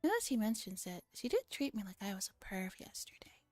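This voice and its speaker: Woman playing a dramatic paranoid young man, soft and echoey. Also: medium pitch, sing-song, brisk, soft background music, lightly acted. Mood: wary, brooding. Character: dramatic paranoid young man, self-styled mad scientist. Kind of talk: visual novel read-aloud.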